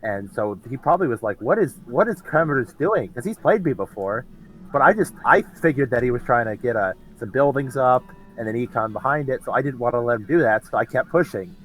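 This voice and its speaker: A man with a gravelly, hoarse voice from a cold, talking casually and hesitantly about the games he won. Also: mid-range voice, animated, brisk, soft background music, low-quality recording. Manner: hesitant, restarts often. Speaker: tournament winner, interviewee. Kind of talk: casual post-match chat.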